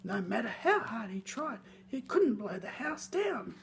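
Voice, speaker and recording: A woman reading a scripted children's story in a theatrical, expressive way, lingering on words. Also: a little high, measured pace, vocal fry, a little hiss or hum.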